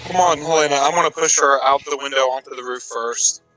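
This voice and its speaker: A man's voice: mid-range voice, a little fast, sharp tone, soft background music, rough recording. A man chatting casually and insistently as a player in a tabletop roleplaying game.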